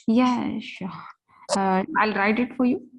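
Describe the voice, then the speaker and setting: A woman's voice: mid-range voice, fairly even intonation, slow, gravelly, dull audio. A woman student in an online class, giving short replies and laughing often.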